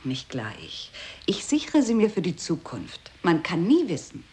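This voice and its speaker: Playful bossy woman, spiteful streak, lowish voice, swooping intonation, radio drama, faint noise. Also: huge pitch swings, unhurried, warm tone. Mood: playful, instructing. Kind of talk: avant-garde radio play dialogue.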